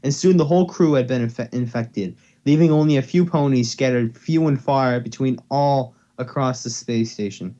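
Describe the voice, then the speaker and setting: A man's voice: mid-range voice, moderate pace, few pauses, mellow, muffled recording. A young man giving a casual amateur reading of a story, playful and stumbling, with offhand asides. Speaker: reader. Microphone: headset or desktop mic on voice call.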